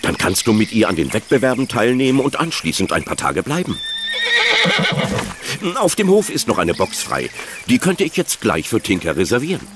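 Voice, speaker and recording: Lively, low-voiced teasing dad. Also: male voice, moderate pace, very noisy. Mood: friendly. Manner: playful, gently teasing. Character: kindly teasing father of a teenage girl. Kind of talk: German children's audio drama acting.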